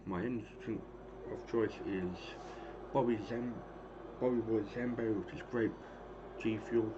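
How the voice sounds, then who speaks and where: A hoarse man in his thirties casually calling the action of a wrestling game he is streaming, rambling and clearing his throat often. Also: lowish voice, steady tone, takes it slowly, long pauses. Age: 30s. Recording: dull lo-fi stream audio with clear noise.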